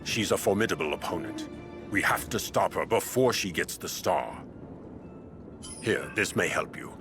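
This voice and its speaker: A grave craftsman and inventor from a fantasy video game. His voice is very deep and he explains things knowingly, with clear music underneath. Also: animated, moderate pace. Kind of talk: video game cutscene acting.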